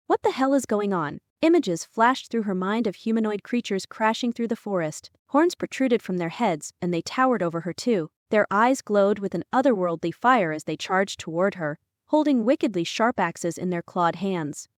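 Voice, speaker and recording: A woman's voice: medium pitch, no room sound, studio quality. A woman narrating a fantasy audiobook from a script, acting out some dialogue within it.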